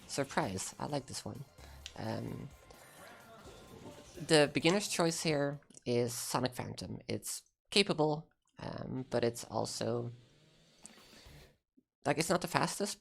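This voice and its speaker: Woman with a very low, gravelly voice. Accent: American-sounding English. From Germany. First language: German. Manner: casual, chatty, laughs often. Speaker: speedrunner. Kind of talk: live gameplay commentary.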